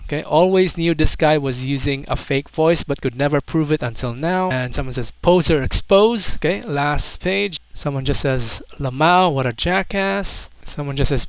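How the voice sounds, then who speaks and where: A man with a fairly high, smooth and clear voice gives casual online commentary. He reads comments aloud and adds incredulous, mocking asides in a hesitant way. The sound is close and dry. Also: no echo. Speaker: YouTube commentator.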